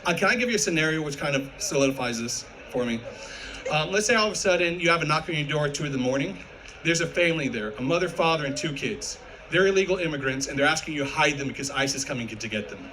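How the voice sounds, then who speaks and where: A man's voice: on the high side, animated, fast, a crowd in the background, poor audio. A man, a history professor, debating live with probing questions and academic wording.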